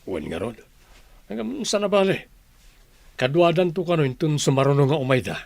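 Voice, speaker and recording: Man playing lively, swooping cheerful dad. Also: fairly high pitch, moderate pace, creaky, no echo. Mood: gentle. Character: cheerful, doting father of daughters. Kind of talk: Filipino audio drama acting.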